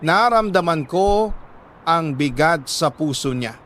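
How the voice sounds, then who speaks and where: A Filipino man reads a listener's letter as a radio storyteller, narrating in the first person with measured, emotive phrasing and deliberate pauses. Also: fairly high pitch, lively intonation, fairly bright tone, a little hiss or hum.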